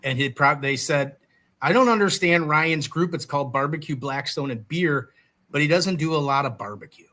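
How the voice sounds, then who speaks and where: Bright-voiced man hosting a chat show. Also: on the high side, normal speed. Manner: joking, self-deprecating banter. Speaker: co-host. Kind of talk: casual livestream chat.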